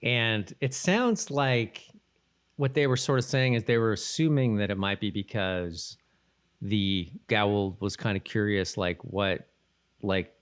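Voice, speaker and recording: A man's voice: fairly low pitch, slow, smooth. A man co-hosting a podcast, chatting casually and rambling a bit as he loosely retells scenes and dialogue. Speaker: podcast co-host. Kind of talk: casual conversational recap chat.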